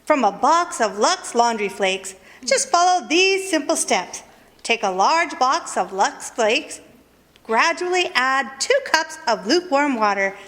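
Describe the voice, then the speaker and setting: High-voiced, lilting radio spokeswoman. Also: a bit bright. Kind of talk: scripted old-time radio commercial. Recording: echoey hall, long reverb.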